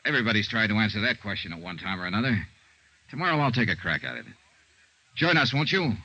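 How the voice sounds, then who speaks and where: An American actor playing a brisk, hard-nosed insurance investigator and narrator in a 1950s radio serial, with a clipped, dry, businesslike delivery. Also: male voice, fairly low pitch, animated, sharp tone. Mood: brisk, narrating. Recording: muffled 1950s radio recording.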